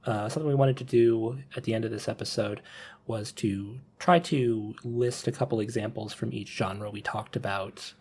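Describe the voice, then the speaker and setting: A man's voice: lowish voice, darkish tone, a little hiss or hum. A man hosting a casual podcast discussion, rambling and hedging as he steers the talk back on topic. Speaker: podcast host.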